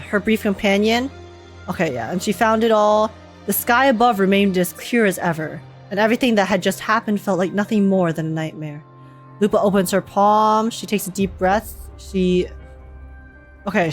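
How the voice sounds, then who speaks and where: A young woman streaming a game with casual, excitable, slangy live commentary. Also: medium pitch. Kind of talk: casual live reaction commentary over gameplay. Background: faint game music.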